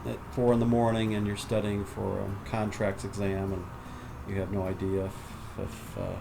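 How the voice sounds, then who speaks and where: Low, gravelly man with a humming room. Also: little pitch movement. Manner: reflective, many "uh" pauses. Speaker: lawyer. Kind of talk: documentary interview. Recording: air conditioning hum behind.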